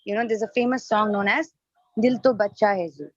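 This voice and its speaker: A woman's voice: medium pitch, steady tone, a little fast, no room sound. A woman whose native language is Nepali gives an interactive devotional lecture as a spiritual teacher, often posing questions and rephrasing her points. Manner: questioning, explains by rephrasing and repeating. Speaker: spiritual teacher leading an online class. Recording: dull lo-fi online call audio.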